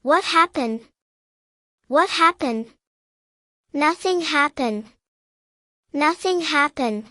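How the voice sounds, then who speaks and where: A woman narrating a scripted beginner language drill in careful, measured, simple phrases, repeating each sentence. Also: high voice, expressive, sharp tone, no echo, studio quality. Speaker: English teacher narrator.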